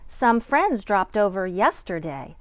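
A middle-aged woman, a kindergarten teacher, reading a picture book aloud to young children with deliberate, sing-song dramatic emphasis. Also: mid-range voice, sing-song, dry recording.

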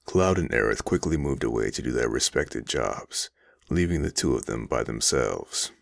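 A man's voice: rumbling low, expressive, moderate pace, gravelly, dry recording. A man reading scripted narration for a dramatic story.

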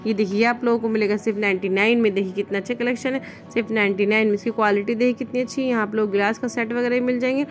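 A woman shopping vlogger walking through store aisles with her phone, pointing out products and prices in brisk, upbeat, salesy patter. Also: fairly high pitch, steady tone. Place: indoor retail store aisles.

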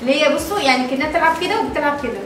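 Very echoey, high-pitched Egyptian woman. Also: rapid-fire, a bit bright. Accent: Egyptian Arabic. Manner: chatty, exclaiming, repeats phrases. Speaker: host of a family toy-unboxing vlog, mother. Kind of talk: casual chat to camera and children. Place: tiled living room at home, indoors. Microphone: far camera. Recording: very echoey, distant mic, noisy room.

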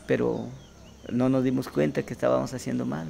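A man with a Peruvian accent giving halting, repetitive, informal testimony as a local resident. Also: medium pitch, level tone, creaky, warm tone, slight background noise. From Peruvian Amazon. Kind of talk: casual spoken testimony.

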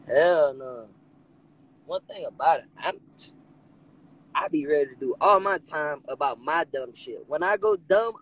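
A young man streams from inside a car, the phone held out at arm's length. He talks casually and animatedly, his fairly high voice dipping into low mutters before leaping up again. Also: slight background noise, low-quality recording. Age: young adult. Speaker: rapper hosting a livestream. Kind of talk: casual livestream chat.

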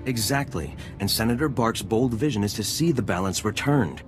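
An actor playing an effusive, flattering senator's aide speaking formally in a video game. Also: male voice, on the low side, normal speed, faint music behind, close to an everyday voice. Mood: earnest, persuasive. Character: fawning, unctuous senator's male aide.

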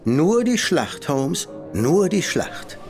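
Dry gentleman ally, mid pitch, lively intonation, clear music under. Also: takes it slowly. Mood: dry, consoling. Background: background score.

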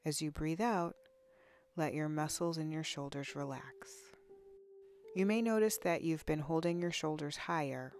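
A woman leading a guided body scan meditation in a soothing, unhurried, instructional way with long pauses. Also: low-pitched, a little mellow, soft background music. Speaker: guided relaxation narrator, counselor.

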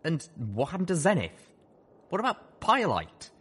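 A man's voice: a little high, wildly swooping pitch, moderate pace, fairly bright tone, breathy edge, voice pushed up, heavily acted. Man playing a furious pegasus colonel, raised voice. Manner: roaring, demanding. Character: enraged male pegasus military colonel, hostile and demanding.

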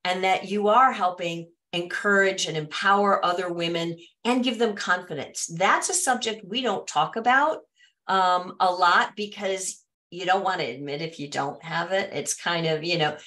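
A woman's voice: lowish voice, moderate pace. A middle-aged woman hosting a podcast interview over a video call, speaking in an encouraging way. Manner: warm and encouraging. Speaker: podcast host. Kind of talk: casual interview talk. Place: home office with bookshelves.

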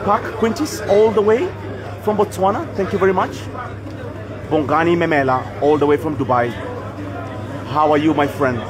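A man's voice: on the high side, normal speed, pauses often. A man with a Kenyan accent giving chatty live commentary on a pool match for a stream, greeting viewers by name between shots. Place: pool tournament venue in Nairobi. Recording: loud crowd noise all around. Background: loud crowd.

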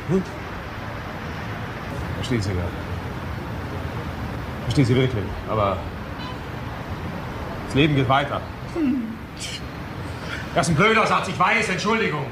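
An actor playing a brusque but caring boss who coaxes and scolds in a crime radio drama. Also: male voice, medium pitch, normal speed, long pauses, reverberant, noisy background, natural voice. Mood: coaxing.

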